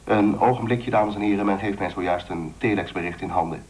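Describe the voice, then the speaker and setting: A man's voice: low-pitched, steady tone, darkish tone, natural voice. Man acting a formal newsreader, faint noise behind. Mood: grave, formal. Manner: formal, measured reading. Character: formal male radio newsreader delivering bulletins. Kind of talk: radio news bulletin acted in a radio play.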